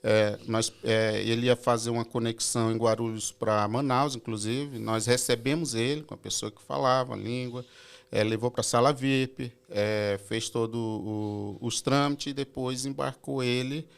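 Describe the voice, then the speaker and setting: A man talks through his airport support business in a relaxed podcast chat. He hesitates often, restarting sentences and filling pauses as he explains. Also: medium pitch, vocal fry, clear, resonant tone. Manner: hesitant, many filler "é"s and restarts. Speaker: airport assistance company representative. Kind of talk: casual podcast conversation.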